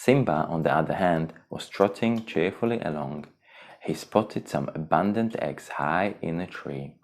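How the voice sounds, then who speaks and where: A man narrating a children's storybook in a theatrical, expressive way. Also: low voice, measured pace. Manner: theatrical, expressive, acts out exclamations.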